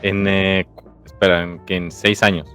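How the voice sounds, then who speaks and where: A man's voice: low-pitched, level tone, measured pace, a bit bright, soft background music. A man hosting a podcast, asking a guest short, curious interview questions. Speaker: podcast host.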